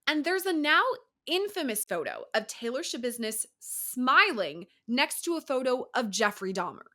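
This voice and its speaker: A woman's voice: high voice, very animated, moderate pace, sharp tone. A woman with an American accent narrating a scripted true-crime news report as the reporter.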